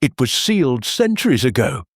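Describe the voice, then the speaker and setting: A male narrator plays a worn-out titled gentleman for an audiobook. He is harsh and weary, husky, with strongly varied pitch. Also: big pitch swings, normal speed, no room sound, studio quality. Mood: strained. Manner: curt, weary, snapping.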